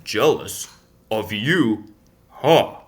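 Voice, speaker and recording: A man's voice: lowish voice, expressive, extremely slow, breathy edge, exaggerated voice. A man voicing a grumpy small-town mayor in a storybook, scoffing and indignant. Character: grumpy male Wemmick mayor.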